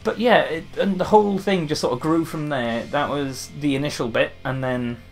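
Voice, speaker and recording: A man's voice: a little high, steady tone, normal speed, soft background music. A young man from Wales with a light Welsh accent, chatting casually to camera as a gaming YouTuber answering questions, rambling with restarts and fillers.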